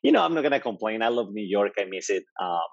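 A man's voice: on the low side, animated, fast, gravelly, no room sound, lo-fi sound. A man from Mexico with a Mexican Spanish accent, speaking as a guest in a casual tech interview, enthusiastic and often checking in with "right?". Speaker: developer advocate guest.

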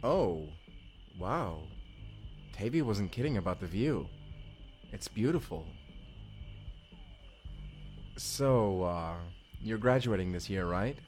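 A man's voice: lowish voice, lively intonation, slow pace, pauses often, a little mellow. A man playing an awkward, friendly, evasive young man in an immersive audio drama, chatty and hesitant, often joking. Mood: hesitant, sincere. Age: young adult. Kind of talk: immersive first-person audio roleplay. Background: music.

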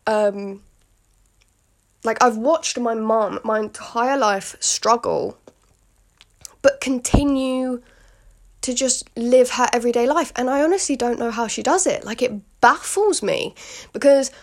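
British woman, late teens, podcast host; gravelly fry, rambling candid delivery with lots of fillers. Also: on the high side. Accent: British English. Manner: rambling and candid, with many fillers and frequent asides to the listener. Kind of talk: casual solo podcast monologue.

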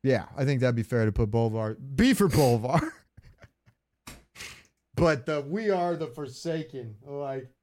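A man's voice: mid-range voice, unhurried, pauses often. A man hosting a podcast in casual fan chat, talking freely and profanely. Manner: profane, animated retelling with quoted lines. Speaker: podcast host.

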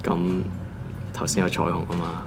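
An actor playing a young office worker who grumbles and answers back casually in a radio drama. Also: male voice, deep voice, monotone, normal speed, over music. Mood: sullen. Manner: grumbling, casual, dry retorts. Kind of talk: Cantonese radio drama acting.

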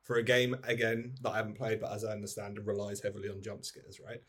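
A man's voice: fairly low pitch, level tone, fast talker, fairly bright tone, husky. A man in an informal, rambling, sweary chat about films, acting out imagined lines as he goes. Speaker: podcast host. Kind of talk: casual film chat.

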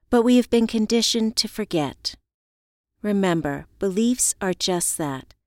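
A woman voicing a reflective, encouraging heroine in an audiobook, speaking in a measured, earnest way. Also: mid-range voice, darkish tone, no echo, studio quality, pitched above the performer's own voice, natural voice.

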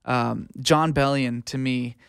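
Young north american guy, smooth clear voice, casual podcast guest thinking out loud, dry close sound. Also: medium pitch, steady tone, slow pace, dry recording. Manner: informal, reflective. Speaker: interview guest, music producer and audio engineer. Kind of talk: casual chat.

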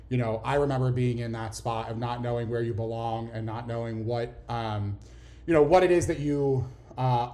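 Chatty Philadelphia man, middle pitch. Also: dark tone. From Philadelphia, USA. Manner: chatty, earnest, teasing. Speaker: podcast co-host. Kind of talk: casual banter podcast chat.

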